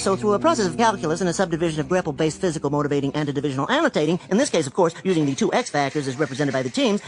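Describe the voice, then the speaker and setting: A man's voice: high-pitched, low-quality recording. An actor playing a timid, bumbling little man in a radio drama, hesitant and eager.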